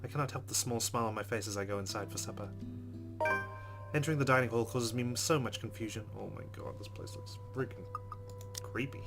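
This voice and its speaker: A man hosting a let's play, reading a visual novel aloud with expressive delivery and quick asides. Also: mid-range voice. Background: game music.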